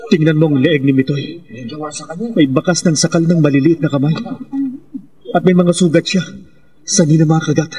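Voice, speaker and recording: A man playing a desperate, guilty father scheming in a horror radio drama, with a husky, insistent, commanding voice. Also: a little high, steady tone, moderate pace, long pauses, dark tone, natural voice. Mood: grim insistence.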